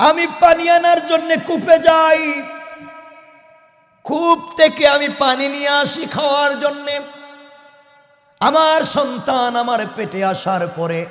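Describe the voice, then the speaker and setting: A Bangladeshi man delivers a religious sermon in a high, declamatory voice. He tells the story slowly and dramatically, stretching phrase ends into chant. The sound rings with long, echoey PA reverb. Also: a bit bright. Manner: declamatory, sing-song chanting at phrase ends, calls on listeners to respond. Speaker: Islamic preacher.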